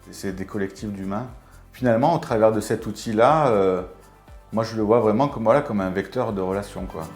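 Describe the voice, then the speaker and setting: A man explains things informally in an interview. His voice is fairly low, the room echoes around him, and faint music plays behind. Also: fairly quick, darkish tone. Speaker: community manager of an online professional network. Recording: roomy echo, music underneath.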